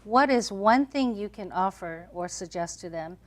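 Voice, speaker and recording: A woman's voice: medium pitch, animated, normal speed. A woman hosting a panel talk show, asking questions and closing the episode. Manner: warm affirming listener, many soft mm-hmms.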